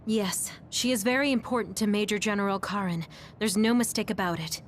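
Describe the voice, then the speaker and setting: An actress playing a gentle, thoughtful female major in an anime video game dub. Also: mid-range voice, brisk, a little hiss or hum, lightly acted. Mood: pondering.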